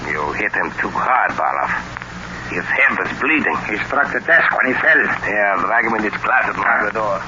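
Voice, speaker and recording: An actor playing a scheming, evasive professor villain, formal and coldly controlled, in an old-time radio drama. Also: male voice, on the low side, expressive, normal speed, natural voice. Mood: cold, plotting. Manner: formal, smooth-worded, controlled menace. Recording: muffled, noisy old radio recording.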